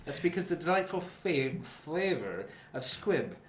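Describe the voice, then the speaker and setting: A man performs a polished vintage radio announcer, selling every phrase with swooping emphasis. His voice sits slightly high, and the recording is roomy and a little dull. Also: sing-song, moderate pace, a little hiss or hum. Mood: salesman-like. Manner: grandly emphatic, salesman-like. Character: polished, upbeat old-time radio announcer and pitchman. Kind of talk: 1940s radio announcing, scripted ad read.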